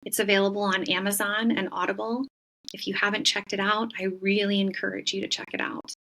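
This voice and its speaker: A woman co-hosting a spiritual podcast, introducing herself in a steady, welcoming way. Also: medium pitch, fairly quick, poor audio. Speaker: podcast co-host and intuitive reader.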